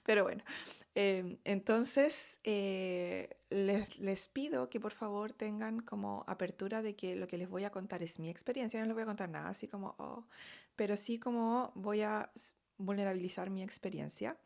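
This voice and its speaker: A middle-aged woman with a Chilean Spanish accent speaks candidly to her viewers in a relaxed storytime monologue. Her delivery is chatty and open, full of fillers, small laughs and frequent "¿ok?" checks. Her pitch sits in the middle for a woman. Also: moderate pace, vocal fry. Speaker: vlogger telling her story.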